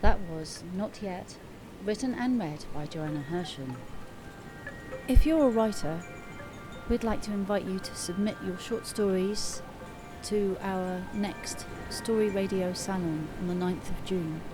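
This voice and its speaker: A woman's voice: mid-range voice, unhurried. Female story-show host, medium register, scripted outro announcement over a music bed. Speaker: host of short story podcast. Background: music and chimes.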